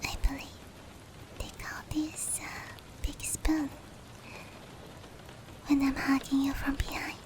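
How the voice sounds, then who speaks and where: A woman voicing a gentle, caring female demon in an intimate roleplay ASMR, whispering softly and breathily to comfort a human. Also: sits high. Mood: tender. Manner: slow, tender, reassuring. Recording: steady rain noise behind. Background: rain.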